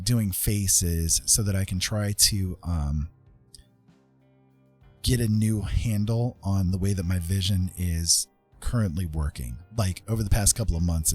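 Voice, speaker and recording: A man chatting casually as he hosts an art livestream, rambling along in a self-deprecating, folksy way with a husky voice. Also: deep voice. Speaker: livestream host and digital artist. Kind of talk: casual livestream chat while drawing.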